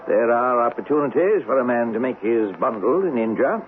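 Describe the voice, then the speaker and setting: A man's voice: mid-range voice, lively intonation, normal speed, natural voice. Man acting a boastful, insistent captain on tinny radio. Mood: earnest, boastful. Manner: insistent, self-satisfied. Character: boastful, persistent army captain wooing a reluctant lady. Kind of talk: old-time radio drama acting. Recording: narrow, tinny radio broadcast, music behind.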